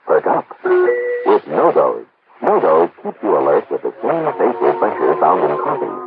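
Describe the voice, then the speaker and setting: A man's voice: low voice, huge pitch swings, a little slow. A man announcing a commercial in a 1950s radio advertising style. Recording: tinny vintage radio, loud music.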